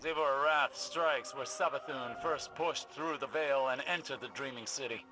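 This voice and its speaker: An actor playing a stern older mentor in a video game cutscene, speaking gravely and portentously. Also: male voice, medium pitch, normal speed, sharp tone, natural voice. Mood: scornful. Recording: music behind the voice.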